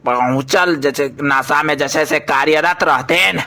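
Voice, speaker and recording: A man's voice: fairly high pitch, a little slow. A man with a Maithili accent voicing a pompous, easily exasperated scientist boss in a comic cartoon, boastful and scolding. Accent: Maithili, Mithila region. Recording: music bed under the voice.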